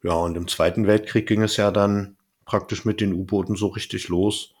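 A man with a lowish voice talks in a loose, conversational podcast style. He comes across as a co-host chatting with others rather than reading from a script. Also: fairly even intonation, moderate pace, darkish tone. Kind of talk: casual podcast chat.

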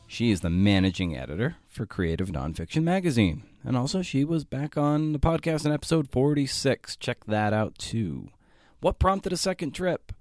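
A man's voice: fairly low pitch, a little mellow. A man hosting a podcast in a casual, wry way, moving between scripted introduction and interview questions. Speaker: podcast host.

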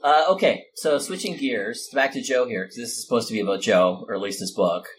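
Mid-pitched man hosting casually. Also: fairly quick, a little hiss or hum. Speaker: podcast host. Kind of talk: casual, unscripted conversation.

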